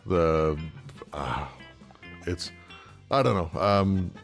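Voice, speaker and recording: A man's voice: sits low, expressive, very slow, gravelly, faint music behind. A man on a podcast panel, chatting casually in a hesitant, understated way. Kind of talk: casual chat.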